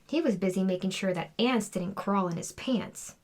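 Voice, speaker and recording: A woman's voice: mid-range voice, normal speed. A woman reading a children's storybook aloud as scripted narration. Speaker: children's book reader.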